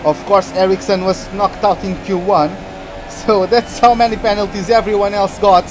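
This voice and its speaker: A man from Portugal, streaming a racing game and chatting excitedly through the action. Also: sits high, normal speed, background noise. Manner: chatty, reacts excitedly to the race. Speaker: gaming streamer. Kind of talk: live game commentary.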